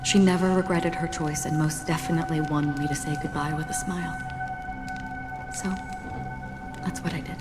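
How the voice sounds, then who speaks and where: An actress voicing a somber female wandering warrior in a video game, speaking in a hushed, measured, wistful way. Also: sits low, wildly swooping pitch. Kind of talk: dramatic video game voice acting. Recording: music loud behind the voice. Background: loud background music.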